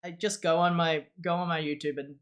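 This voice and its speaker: A young Australian streamer chats loosely on a live stream, with an Australian accent. The voice sits at a middling pitch. They ramble, restart sentences and laugh often. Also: little pitch movement, fairly quick. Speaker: streamer, channel host. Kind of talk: casual stream chat.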